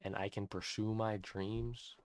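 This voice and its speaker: An eighteen-year-old man ranting excitedly in a personal vlog, amazed and repeating himself, often restarting sentences. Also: fairly low pitch, measured pace, slight background noise. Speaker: vlogger.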